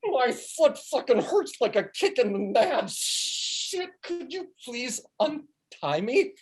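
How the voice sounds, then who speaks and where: A woman's voice: high voice, sing-song, takes it slowly, heavily acted. A woman playing a foul-mouthed, defiant male sidekick in a comedy table read, with pleading, theatrical delivery. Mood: pained, outraged. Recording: video call.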